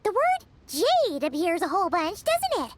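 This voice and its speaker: A woman's voice: very high-pitched, wildly swooping pitch, normal speed, very noisy, exaggerated voice. A woman voicing a tiny, chirpy, childlike companion in a video game, chatty and eager. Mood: eager, curious. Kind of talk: scripted video game dialogue.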